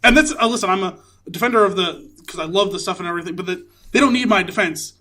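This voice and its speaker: Man, very high voice, bright and forceful, emphatic, opinionated, swears freely, casual podcast co-host. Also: fast. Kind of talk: casual movie chat.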